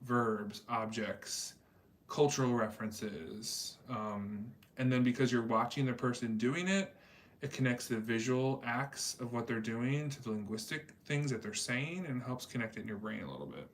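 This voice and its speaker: A man's voice: medium pitch, normal speed, slight background noise. A man hosting a casual livestream chat, rambling in a talkative way with many fillers. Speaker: livestream host reading chat.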